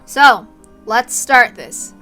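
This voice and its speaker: A woman's voice: a little high, expressive, drawn-out words, pushed and bright, faint music behind. A woman streamer reading a story aloud on a livestream, slipping into casual asides.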